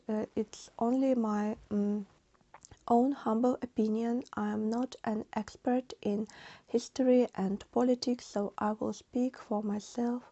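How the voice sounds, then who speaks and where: Ukrainian woman, 30s, Russian native speaker, flat low-key delivery, slightly muffled recording. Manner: slow, halting, with many pauses and fillers; earnest and grateful. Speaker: vlogger. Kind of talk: unscripted personal address to camera.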